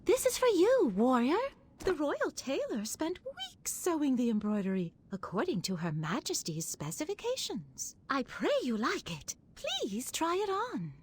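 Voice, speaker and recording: An actress playing an eager, earnest and deferential young attendant in a video game. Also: female voice, sits high, big pitch swings, unhurried. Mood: earnest, delighted. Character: eager, reverent young female royal attendant. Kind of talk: video game cutscene acting.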